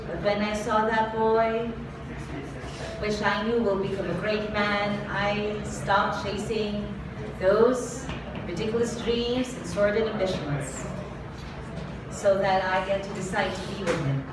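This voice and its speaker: Woman, spoken word poet, slow measured delivery, dramatic refrains, far from the mic, echoey venue with background noise. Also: medium pitch, fairly even intonation. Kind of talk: live spoken word poetry. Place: live performance venue. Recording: roomy echo, far from mic, ambient noise.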